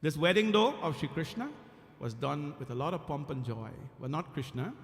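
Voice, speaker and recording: A man from Guyana, a temple priest, giving an earnest, emphatic devotional sermon with frequent pauses. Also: fairly high pitch, lively intonation. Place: temple hall. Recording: echoey temple hall.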